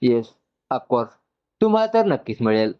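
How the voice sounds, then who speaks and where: A man voicing an excitable, doting young new father in a story, gushing and mixing in English. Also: medium pitch, normal speed, clean tone, lo-fi sound, natural voice. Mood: cheerful.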